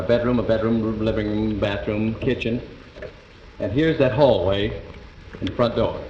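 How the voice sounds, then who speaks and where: An actor playing a calm, persistent juror in 1950s live television drama, reasoning step by step with small hesitations. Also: male voice, medium pitch, lightly acted. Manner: hesitant, methodical, explaining step by step. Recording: muffled, noisy, echoey 1950s TV broadcast.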